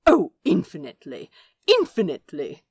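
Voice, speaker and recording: Woman playing a prim, indignant male angel, animated. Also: fairly low pitch, normal speed, slightly rough, no echo, clean studio sound, heavily acted. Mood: huffy, scornful. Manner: scoffing, huffy, insistent, genteel wording. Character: prim, indignant male angel, fussy and stubbornly self-righteous. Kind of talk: dramatic fan fiction reading.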